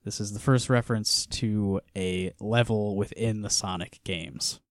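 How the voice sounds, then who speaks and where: A man co-hosting a casual podcast, walking through a comic with frequent laughter and quiet trailing-off lines. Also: lowish voice, steady tone, measured pace, dry recording, studio quality. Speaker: podcast co-host. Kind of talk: casual chat reviewing a comic.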